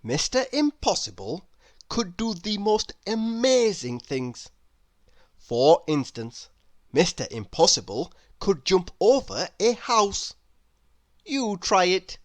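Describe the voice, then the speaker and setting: A woman's voice: medium pitch, sing-song, slow pace, no echo. A woman reading a children's story aloud as narrator. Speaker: storybook reader.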